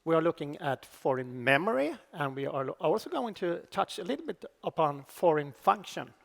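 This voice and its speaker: A middle-aged man with a Swedish accent giving a technical conference talk on stage, speaking haltingly with many fillers and restarts. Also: a little high, very animated, creaky, fairly bright tone. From Sweden. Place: large conference hall on stage. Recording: reverberant conference hall.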